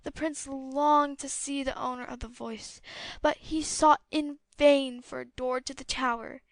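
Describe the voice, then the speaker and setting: A woman narrating a fairy tale as an audiobook, with a clear storytelling delivery. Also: sits high, steady tone, unhurried, no echo.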